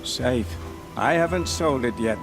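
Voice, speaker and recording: An actor playing an embittered, righteous outlaw in a video game, blunt in manner. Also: male voice, medium pitch, animated, measured pace, over music. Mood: earnest. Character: bitter, righteous male outlaw who robs the rich.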